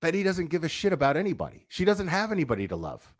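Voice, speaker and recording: A man's voice: a little high, huge pitch swings, fast talker, no room sound. Blunt, profane man ranting, dry close sound. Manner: emphatic repetition and rhetorical questions. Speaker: online commentator, livestreamer. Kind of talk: livestreamed opinion rant.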